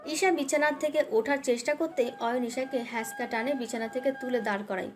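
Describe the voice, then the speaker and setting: A woman's voice: high-pitched, steady tone, fairly quick, music in the background. A woman narrating a scripted dramatic love story as its storyteller.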